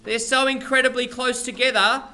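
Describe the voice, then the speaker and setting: A man's voice: very high voice, steady tone, moderate pace, pushed and bright. A male maths teacher giving a classroom lesson, chatty and playful, rambling with restarts and joking asides.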